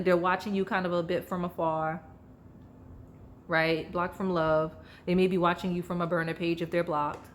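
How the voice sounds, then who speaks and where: A woman with a somewhat low, slightly hoarse voice talks through a tarot card reading. She rambles casually, fills her sentences with "like" and speaks straight to her viewers. A faint hum of noise sits behind her. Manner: chatty, rambling, heavy use of "like", addresses viewers directly. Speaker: tarot reader.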